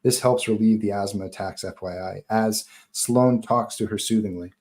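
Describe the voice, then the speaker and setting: A man's voice: lowish voice, fairly even intonation, moderate pace, a little mellow. A man narrating stage directions at a live table read of a horror screenplay, in scripted narration style.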